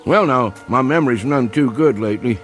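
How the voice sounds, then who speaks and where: An actor playing a stubborn, plain-spoken country hunter in a vintage TV drama, with a rural Southern American accent and homespun manner. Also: male voice, mid-range voice, brisk, soft background music, lightly acted. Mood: amiable, puzzled. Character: stubborn, plain-spoken backwoods male coon hunter, loyal to dog. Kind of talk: vintage television drama acting.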